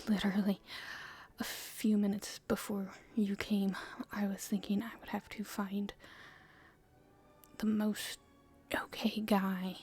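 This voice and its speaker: A woman playing an earnest, hopeful young princess in a soft, halting, breathy whisper for an ASMR roleplay. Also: mid-range voice, full character voice. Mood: musing, hesitant. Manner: halting, soft-spoken, thinking aloud.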